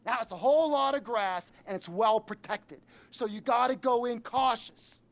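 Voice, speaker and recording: A man's voice: very high voice, moderate pace. A man playing a jittery, fawning criminal henchman in a video game, rambling nervously and correcting himself as he goes. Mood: eager, rambling. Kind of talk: video game cutscene acting.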